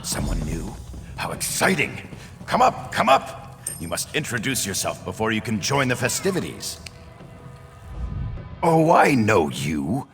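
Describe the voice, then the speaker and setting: An enthralled video game nobleman, courtly and effusive. His deep voice swings widely in pitch with devotion. It rings in a long reverberant hall, with music behind. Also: huge pitch swings, unhurried, pauses often, heavily acted. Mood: giddy, welcoming. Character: enthralled nobleman, fawning devoted party host. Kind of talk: video game character acting.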